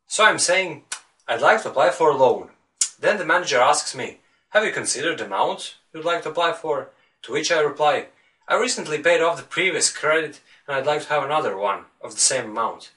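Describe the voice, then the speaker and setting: Bright-voiced Latvian man coaching English. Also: medium pitch, far from the mic. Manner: earnest, rhetorical questions to the viewer. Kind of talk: motivational video lesson.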